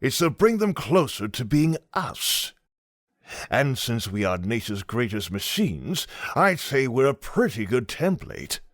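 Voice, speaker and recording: An actor playing a genial, philosophical older scientist with an erudite, measured manner in a comic dub. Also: male voice, mid-range voice, big pitch swings, dry recording, studio quality. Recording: music behind the voice.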